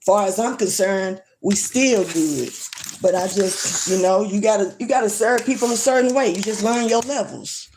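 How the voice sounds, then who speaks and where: A woman's voice: medium pitch, moderate pace, darkish tone, slight background noise, low-quality recording. A woman, ranting bluntly and swearing freely in a casual livestream. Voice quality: strong voice. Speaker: livestream vlogger. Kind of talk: casual rant to camera.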